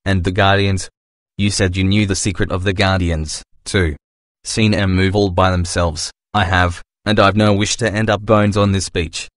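A man voicing a stern, threatening pirate slaver in a motion comic, in a gruff, menacing manner. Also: deep voice, flat delivery, moderate pace, dry recording, clean studio sound, natural voice. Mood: stern, wary. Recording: background music under the voice.

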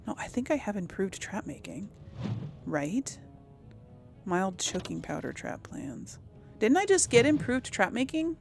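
A woman's voice: mid-range voice, very animated, gravelly, soft background music. A woman casually commenting on her gameplay, musing aloud and talking to herself. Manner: slow, musing, talks to herself. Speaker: gameplay host. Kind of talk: casual let's play commentary.

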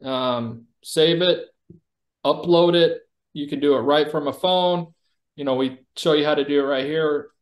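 A man's voice: on the high side, unhurried. A man giving a conversational product demo as a company executive, explaining things informally.

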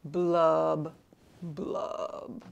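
A woman's voice: deep voice, little pitch movement, drawn-out words, vocal fry, highs cut off, pitched below the performer's own voice, full character voice. A woman voicing a glum, gloomy male fish in a children's storybook reading, chanting in a singsong rhyme.

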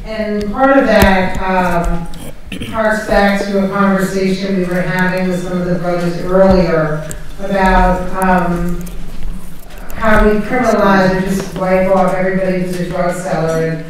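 A woman moderates a panel in a measured, reflective voice of middle pitch. She sounds far from the mic, and the big lecture hall rings heavily around her. A faint noise runs underneath. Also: fairly even intonation.

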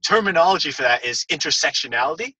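A man's voice: fairly high pitch, animated, fast talker, sharp tone, breathy edge, no room sound, recorded from a distance. A man in his thirties from Hong Kong, a guest educator talking thoughtfully in a casual podcast with many fillers. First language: English.

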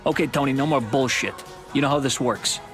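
A man's voice: medium pitch, fairly quick, fairly bright tone, faint music behind, natural voice. A man acting a smug, menacing, corrupt vice cop in a video game, taunting and sarcastic. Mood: smug threat.

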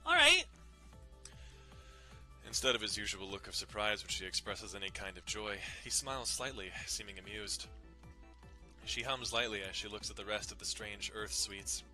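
A man's voice: fairly low pitch, steady tone, normal speed, bright, forceful tone, rough recording. A young man giving a dramatic reading of a visual novel, narrating and tossing in casual, irreverent asides, with music behind him. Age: young adult. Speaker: dramatic reader.

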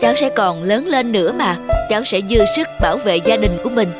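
A woman voicing a kind, fatherly man in a cartoon, gentle and reassuring. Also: mid-range voice, lively intonation, a little fast, over loud music, natural voice. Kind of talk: cartoon voice acting.

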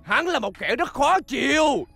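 High, creaky, bossy alien villain. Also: big pitch swings, measured pace, faint music behind, heavily acted. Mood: exasperated. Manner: shouting, impatient, scornful. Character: bossy, short-tempered alien villain scheming against a boy hero. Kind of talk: animated cartoon dub.

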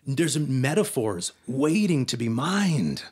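Guest poet, male, late 30s, from El Paso: mid-range voice, excitable, filler-heavy chat. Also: mid-range voice, slow pace, husky. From El Paso, Texas, US. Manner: energetic, filler-heavy, keeps adding "right?". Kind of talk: casual interview conversation.

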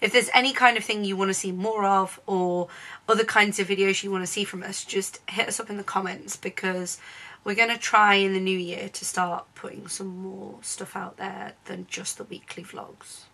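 A woman's voice: medium pitch, fairly even intonation, moderate pace, creaky, pushed and bright. Chatty young woman vlogging at home. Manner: chatty, lots of "um" fillers. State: has a cold, hoarse. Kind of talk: casual vlog chat. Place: bedroom at home.

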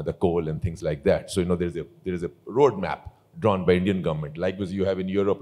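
Deep-voiced man explaining on a panel. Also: a little mellow. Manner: explanatory, discursive with fillers. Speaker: panelist, carmaker executive. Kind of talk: conference panel answer. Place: large conference hall stage. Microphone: handheld microphone.

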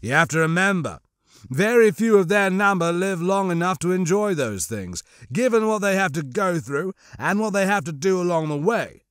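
A scheming male crime lord acted by a man speaks in a fairly high voice with icy disdain. He is mocking and measured, a menacing audiobook villain, recorded close and dry. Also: animated, fairly bright tone, clear, resonant tone, dry recording. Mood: cold, calculating. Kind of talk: audiobook character acting.

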